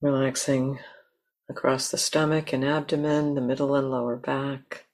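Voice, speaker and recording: A woman leading a guided meditation in a gentle, unhurried manner with long pauses. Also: a bass voice, level tone. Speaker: meditation teacher.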